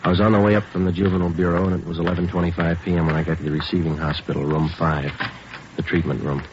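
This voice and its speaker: An actor playing a terse, deadpan male police detective sergeant in a vintage radio crime drama. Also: sits low, rapid-fire, natural voice. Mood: matter-of-fact. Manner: clipped, matter-of-fact, deadpan. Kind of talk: 1940s-50s radio police drama acting and narration. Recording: noisy muffled old radio recording with clicks.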